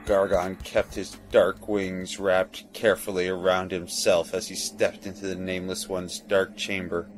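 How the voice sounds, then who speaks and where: A man's voice: sits low, little pitch movement, unhurried, hardly any pauses. A man reading scripted, dramatic fantasy narration for an audio drama. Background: music.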